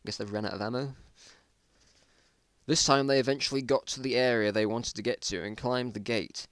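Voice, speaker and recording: A man's voice: mid-range voice, fairly even intonation, moderate pace, fairly bright tone, no room sound. A man giving an amateur dramatic reading of his own old story, with amused, self-mocking asides. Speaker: narrator.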